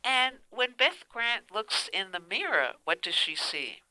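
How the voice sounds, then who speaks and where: A woman's voice: mid-range voice, sing-song, a little slow, pushed and bright, no room sound, rough recording. A woman hosting a casual radio interview and putting questions to her guest.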